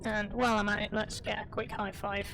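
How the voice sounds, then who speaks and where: A woman's voice: medium pitch, little pitch movement, a little fast. A woman streamer giving rambling, chatty commentary while playing, her voice a little crackly, often stopping to correct herself. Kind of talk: casual live gameplay commentary. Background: game music.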